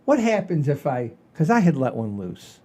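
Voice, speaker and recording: Mellow-voiced man telling rambling stories. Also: fairly high pitch, sing-song, moderate pace, slightly rough. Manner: rambling, repeats himself, folksy "I says". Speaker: comedy podcast host. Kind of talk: casual comedic chat, telling anecdotes.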